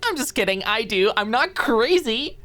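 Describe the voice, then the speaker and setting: A woman voicing an excitable, confident, gossipy young woman in a dramatized audiobook, gushing and slangy. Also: fairly high pitch, normal speed, voice pushed up. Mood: eager, playful. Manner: gushing, dramatic, teenage-style slangy delivery. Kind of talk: dramatized audiobook character acting.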